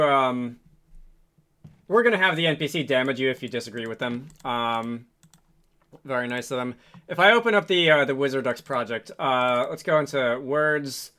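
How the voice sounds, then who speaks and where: Bright-voiced man thinking aloud through code. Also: medium pitch, clear, resonant tone. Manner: thinking aloud, halting and hesitant. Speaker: programming tutorial host. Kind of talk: casual screen-recorded coding walkthrough.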